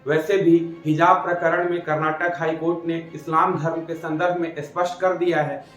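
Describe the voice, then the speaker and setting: A man's voice: fairly high pitch, level tone, moderate pace, a bit bright. A man reading scripted news commentary in a formal, emphatic way, repeating phrases for stress. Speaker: presenter. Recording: roomy echo with music behind. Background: background music.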